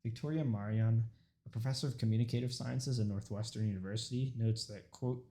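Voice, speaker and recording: A young man whose first language is Urdu gives a prepared informative speech, earnest and mostly steady, with a few stumbles and restarts as he reads. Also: mid-range voice, fairly quick. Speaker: student speaker.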